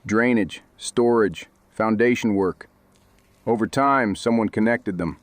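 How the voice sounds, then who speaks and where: A man playing a serious, knowledgeable companion who talks in a clipped, precise deadpan in an audio drama. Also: fairly low pitch, measured pace, dry recording, natural voice. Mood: measured, serious. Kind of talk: scripted fiction podcast acting.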